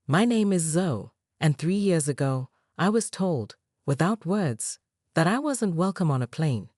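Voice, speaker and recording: A woman narrator plays a gentle young woman, the soft-spoken younger sister. Her voice is low yet animated, rising and falling with feeling. It is recorded dry and close. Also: a little slow, no room sound, full character voice. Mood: earnest.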